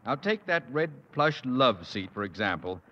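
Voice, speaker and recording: An actor playing a pompous, grasping, blustery school principal in a 1950s radio sitcom. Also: male voice, mid-range voice, very animated, normal speed, close to an everyday voice. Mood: pompous, smug. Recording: dull, reverberant vintage radio with hiss.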